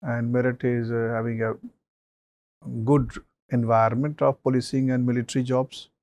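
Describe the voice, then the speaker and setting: A man, a mock interview panelist giving measured advice with many pauses and fillers. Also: mid-range voice, flat delivery, a little mellow.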